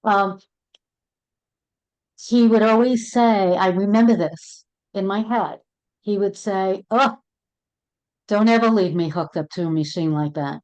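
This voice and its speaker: A woman's voice: medium pitch, measured pace, pauses often, darkish tone. Earnest middle-aged Brooklyn-accented woman. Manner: earnest, repeats words as she talks. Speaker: end-of-life doula, co-host. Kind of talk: casual heartfelt conversation. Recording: dull lo-fi audio.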